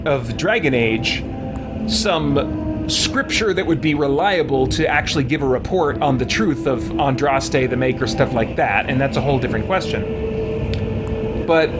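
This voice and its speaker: A man with a slightly high voice hosts a game playthrough in a casual, rambling way. He thinks out loud reflectively. Game music plays clearly behind him. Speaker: host of a gameplay channel. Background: video game music.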